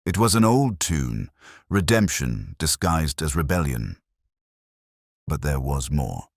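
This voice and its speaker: A man giving scripted first-person detective narration in a measured, reflective, solemn manner. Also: a bass voice, very animated, no room sound, clean studio sound.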